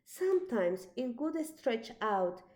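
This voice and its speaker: Animated woman reading a children's story slowly. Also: on the high side. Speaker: storybook reader. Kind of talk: expressive read-aloud of a children's picture book.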